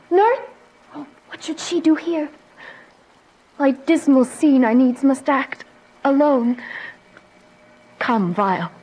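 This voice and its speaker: A woman's voice: high voice, rough recording. A woman playing an earnest, devoted teenage girl bride in a classical Shakespearean tragedy for radio, speaking archaic verse dramatically.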